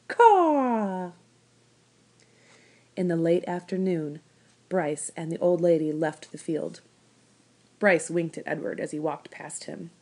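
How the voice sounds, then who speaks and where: A woman reading a children's novel aloud as expressive scripted narration. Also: low-pitched. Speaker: storybook reader, school staff member.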